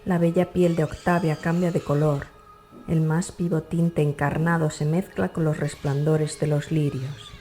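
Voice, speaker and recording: A woman's voice: sits low, level tone, a little slow, mellow, soft background music. A woman reading literary fiction aloud as a scripted audiobook narrator.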